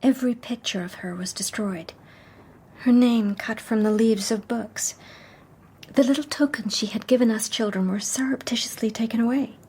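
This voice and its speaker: An actress playing a wistful young society woman, telling her story in a hushed, measured radio drama style. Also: fairly high pitch, slightly rough. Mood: haunted, sorrowful.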